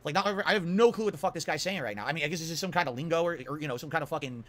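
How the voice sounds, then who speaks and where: Rapid, exasperated high-voiced older man. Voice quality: high-pitched voice. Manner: incredulous, exasperated, rapid-fire rhetorical questions. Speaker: streamer being reacted to. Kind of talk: ranting stream commentary.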